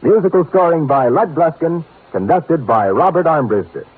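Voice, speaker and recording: A man's voice: on the high side, lively intonation, moderate pace, husky. A man announcing promos and credits in a polished, formal 1950s radio style. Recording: tinny old 1950s radio recording.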